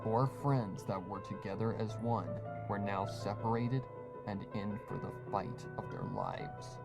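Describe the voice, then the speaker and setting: A man's voice: lowish voice, very animated, unhurried, vocal fry. A man narrating a scripted horror story in a dramatic reading style. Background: music.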